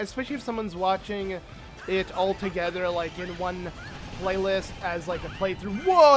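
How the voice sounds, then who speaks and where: High-voiced man with a hoarse voice, excitable. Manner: excitable, rambling with many fillers. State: sick, slightly hoarse voice. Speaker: let's play host. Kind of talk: casual gameplay commentary.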